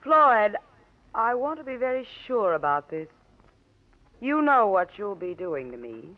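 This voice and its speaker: An actress playing a cornered, anxious but steely wife in an old radio drama, clipped and pleading yet defiant. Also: female voice, mid-range voice, big pitch swings, measured pace. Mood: desperate, defiant. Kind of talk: 1940s radio drama acting. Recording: muffled, hissy old radio recording.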